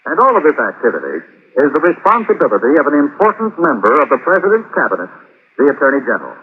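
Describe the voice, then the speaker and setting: A male American announcer reads a scripted vintage radio message with animated intonation. His voice is slightly husky and medium in pitch, on a thin, phone-like old recording. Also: quick pace. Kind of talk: scripted 1950s public-service radio narration.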